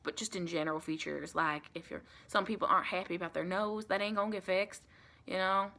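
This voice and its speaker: Bright, soft-spoken woman as a folksy vampire. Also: lowish voice, fairly even intonation, brisk. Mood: reassuring. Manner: chatty, reassuring, colloquial, rambling. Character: friendly, folksy female vampire welcoming a new recruit. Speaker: ASMR performer. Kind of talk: ASMR roleplay, soft-spoken with whispers.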